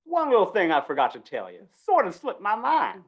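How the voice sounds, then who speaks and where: A slippery fair organizer from a western radio play , played by a woman. The pitch leaps about dramatically as the character coaxes and falsely reassures in a folksy, wheedling way. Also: huge pitch swings, brisk, slightly rough, muffled recording, full character voice. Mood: smooth, sly. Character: wheedling, slippery male small-town fair organizer, fast talker. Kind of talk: old-time western radio drama acting.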